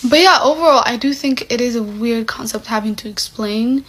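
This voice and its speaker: Young woman vlogging, kinda high voice, lots of like and um, acts out convos. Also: fairly quick, a bit bright, low-quality recording. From Somali heritage. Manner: chatty, many "like" and "um" fillers, acts out quoted exchanges. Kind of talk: casual vlog monologue.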